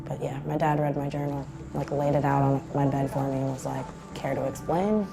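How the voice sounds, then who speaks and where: A woman's voice: extremely low pitch, steady tone, fairly quick, gravelly, noisy background. A woman from New Jersey giving candid, conversational personal testimony in an interview. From New Jersey, United States. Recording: prominent music bed under speech. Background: music.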